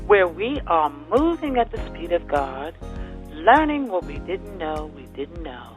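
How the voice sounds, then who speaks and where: A woman's voice: on the low side, sing-song, a little slow, soft background music. A woman host opening her radio show with an upbeat intro.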